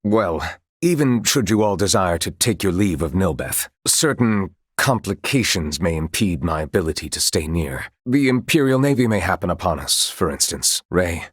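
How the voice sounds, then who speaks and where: A man's voice: low-pitched, expressive, moderate pace, breathy edge, no echo, clean studio sound. A man playing a composed, proud knight commander of royal blood in a video game, speaking in formal, archaic, courtly phrases. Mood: composed, commanding.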